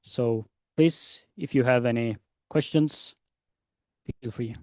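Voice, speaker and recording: A man presenting at a conference, answering questions in a measured, hesitant way. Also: medium pitch, a little mellow, no echo. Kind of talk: conference Q&A explanation. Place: conference session room.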